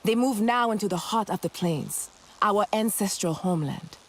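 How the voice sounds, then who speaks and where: A woman's voice: mid-range voice, normal speed, lightly acted. Woman as a solemn, ceremonial clan leader. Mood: reverent, inviting. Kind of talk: video game voice acting.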